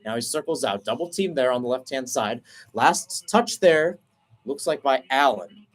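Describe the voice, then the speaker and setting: A man doing radio play-by-play for a soccer match, talking steadily through the passing and breaking off to explain tactics, then jumping up in pitch when a chance opens. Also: animated. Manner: brisk flowing call, rising sharply on chances; self-correcting, explains tactics between plays. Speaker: play-by-play commentator.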